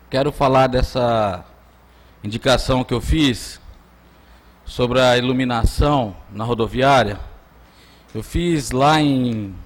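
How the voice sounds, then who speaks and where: A Brazilian man addresses a council session as a councillor. His pitch is ordinary for a man. He talks in a plain, colloquial way, more informal than a polished orator. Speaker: city councillor. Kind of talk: informal political floor speech.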